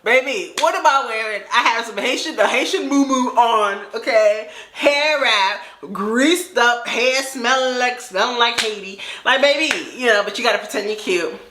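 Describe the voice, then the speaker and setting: A young woman with a fairly deep voice telling a casual story in a lively, laughing way. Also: normal speed, hardly any pauses, slight background noise. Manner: animated, laughing, acts out quoted voices. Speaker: storytime vlogger. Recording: echoey room.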